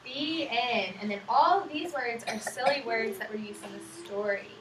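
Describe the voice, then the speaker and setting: A woman's voice: a little high, moderate pace. A young woman reading aloud to small children as a student teacher, asking simple questions in an encouraging, affirming way. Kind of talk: interactive picture-book read-aloud with questions to young children. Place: indoors in a classroom with young children. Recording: echoey room, distant mic, clear background noise.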